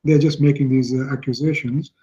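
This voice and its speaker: A man's voice: medium pitch, fairly even intonation, brisk, warm tone, dull audio. A male caller on an internet call, speaking hesitantly with many fillers. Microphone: call over the internet.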